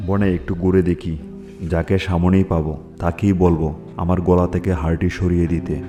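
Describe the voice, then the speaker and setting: A sly, boastful lion played by a man speaks in a very low voice, coaxing and scheming his way along. It is a fable cartoon, with clear music running underneath. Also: little pitch movement, normal speed, mellow, natural voice. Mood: troubled. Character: cunning male lion with a bone stuck in throat. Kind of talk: children's fable cartoon dialogue.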